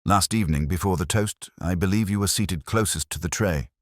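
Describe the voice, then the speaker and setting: A sharp, quietly commanding detective acted by a man from a mystery audiobook. The voice is extremely deep and the delivery precise and probing, in a dry recording with no room sound. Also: a little fast, no echo, natural voice.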